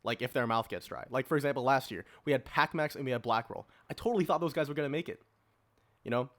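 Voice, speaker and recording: Man, early twenties, medium pitch, podcast host, casual discussion, informal and organizing. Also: fast talker, fairly bright tone.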